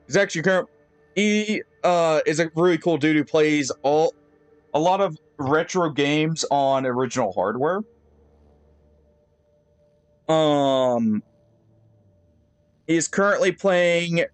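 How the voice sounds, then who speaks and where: Higher-voiced man streaming a game. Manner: thinks aloud with pauses and fillers; friendly sign-off to viewers. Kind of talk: live gameplay commentary.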